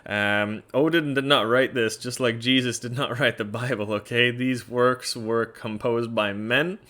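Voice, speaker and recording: A man's voice: mid-range voice, fairly even intonation, a little slow, bright, forceful tone. A man with a Norwegian accent explaining in a casual, chatty way, blunt and often laughing, with many fillers. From Norway. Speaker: educator presenting a series.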